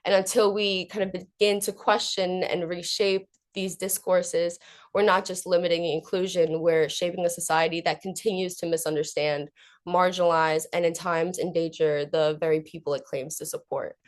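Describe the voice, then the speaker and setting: A woman's voice: on the low side, little pitch movement, normal speed. A young woman student giving an academic conference presentation of research in an earnest, informal way. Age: young adult.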